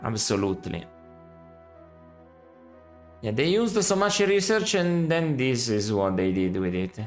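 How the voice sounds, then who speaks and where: Male streamer, mid-pitched, casual running commentary, reflective and a bit critical. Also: very animated, a little slow, soft background music. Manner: musing, self-correcting, critical asides. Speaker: streamer playing a retro game.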